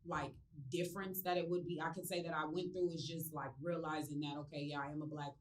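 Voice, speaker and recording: Low-voiced woman, rambling and relaxed. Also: fast talker, distant mic, slight background noise. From California. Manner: rambling, many ums and likes, relaxed and open. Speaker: interview guest, business owner. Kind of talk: casual interview chat. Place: studio.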